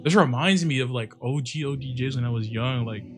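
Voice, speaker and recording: A man hosting a reaction video in a mid-pitched voice. His commentary is casual and full of slang, with music playing faintly behind him. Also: a little fast.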